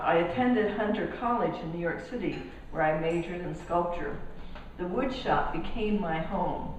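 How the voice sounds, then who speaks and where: An older woman from Colombia talks through her artwork in a gallery, pausing and restarting her sentences. Her voice sits a little low and comes from some distance off the mic. The big hall gives a strong echo over a faint background hiss. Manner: halting, with many restarts and fillers. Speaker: artist giving a gallery talk. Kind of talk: casual artist talk. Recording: echoey hall, speaker off mic, faint noise.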